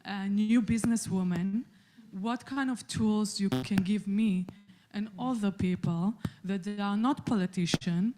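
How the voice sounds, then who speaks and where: A young woman with an Israeli accent speaks up from the audience, earnest and searching for her words. She pauses often on small fillers. Her pitch sits in an ordinary middle range for a woman. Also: warm tone, faint music behind. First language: Hebrew. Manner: earnest, hesitant with frequent fillers. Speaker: audience member asking a question. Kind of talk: question from the floor at a public forum.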